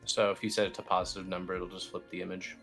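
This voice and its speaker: Low-voiced man teaching, music behind. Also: little pitch movement, quick pace, a bit bright. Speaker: instructor, team lead. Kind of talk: casual software tutorial walkthrough. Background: background music.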